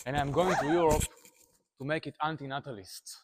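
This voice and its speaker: A man's voice: a little high, fairly even intonation, brisk. A man filming himself on a phone outdoors at night, joking wryly. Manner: wry, joking. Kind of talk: casual phone vlog. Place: outdoors at night at a street protest. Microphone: phone held close.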